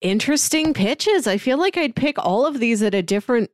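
A woman's voice: on the high side, expressive, brisk, no echo, clean studio sound. A woman co-hosting a podcast in casual, conversational talk. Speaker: podcast co-host. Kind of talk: casual chat.

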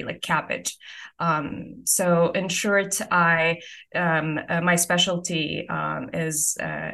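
A young woman from Saudi Arabia, a guest physician-scientist, explaining her research slowly, with many ums and uhs. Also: on the low side, vocal fry, fairly bright tone. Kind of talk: conversational interview answers.

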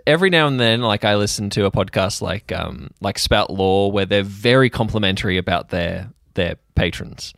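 A man's voice: lowish voice, expressive, moderate pace, creaky, hardly any pauses, fairly bright tone, no echo. A man recovering from a chest infection, chatting casually and wryly as a podcast co-host, close to the mic. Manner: wry, mock-grumbling. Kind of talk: casual banter among podcast co-hosts.

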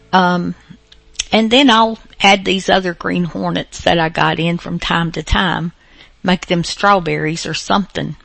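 Woman podcast host, unhurried, lowish gravelly voice, hesitant and rambling, casual unscripted delivery. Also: fairly bright tone. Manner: hesitant, rambling, pausing often. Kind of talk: casual, unscripted show introduction.

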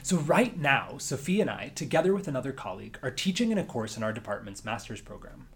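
Male university lecturer, calm scripted narration, mid-range pitch. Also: fast, soft background music.

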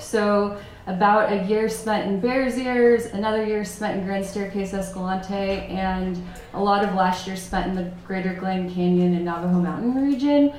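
A woman with a mid-pitched voice introduces herself on a panel in a fluent, thoughtful way. The sound is wrapped in heavy room echo. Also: a little hiss or hum. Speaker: writer, trail runner, panelist. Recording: echoey room.

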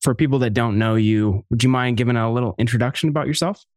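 A man's voice: mid-range voice, fairly even intonation, quick pace, dry recording, clean studio sound. A man hosting a podcast, delivering introductions to the show and its guest. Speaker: podcast host.

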